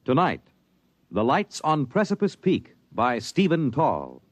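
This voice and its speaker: A man's voice: mid-range voice, wildly swooping pitch, takes it slowly. An American man announcing in a portentous, dramatic old-time radio style. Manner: portentous, theatrical. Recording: dull vintage radio, loud music. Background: loud dramatic music.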